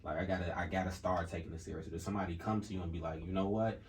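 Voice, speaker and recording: A man hosting a casual podcast, his voice on the low side, affirming his guest and asking long, rambling questions. There is a faint hum of room noise behind him. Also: steady tone, rapid-fire. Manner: affirming, lots of "right, right", bursts of loud laughter. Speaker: podcast host. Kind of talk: casual interview chat.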